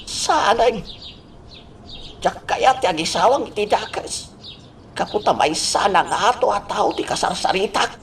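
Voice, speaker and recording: Man acting a slow, creaky, dignified old villager. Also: high-pitched, expressive, a bit bright, a little hiss or hum, exaggerated voice. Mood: earnest. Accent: Ilocano. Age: older. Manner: halting, pleading, earnest. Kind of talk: Ilocano radio drama acting.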